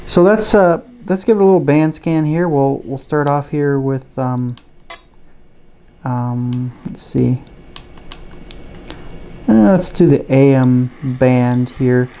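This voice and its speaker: A man talks through a gadget review in a soft, mellow voice with a rounded tone. He explains each feature plainly and casually, taking his time. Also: mid-range voice, takes it slowly, long pauses, clear, resonant tone. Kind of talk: casual product demonstration.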